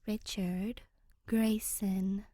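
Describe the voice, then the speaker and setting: A woman plays a young royal ghost girl in a storybook reading. She is courteous and formal, hushed and careful, with a dutiful but wary temper. The recording is close and dry. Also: medium pitch, flat delivery, drawn-out words, dry recording. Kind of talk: fan fiction audiobook character voice.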